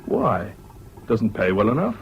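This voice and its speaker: An actor in a British radio drama playing a practical, sympathetic friend, an Englishman with a measured, matter-of-fact manner. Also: lowish voice, wildly swooping pitch, vocal fry, exaggerated voice. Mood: reassuring. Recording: dull, hissy old radio recording.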